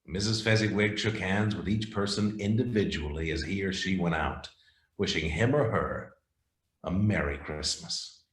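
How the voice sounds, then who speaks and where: A man's voice: sits low, moderate pace, close to an everyday voice. A man playing a storybook narrator, reading scripted narration in an amateur radio play. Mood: storytelling. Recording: roomy, echoing sound.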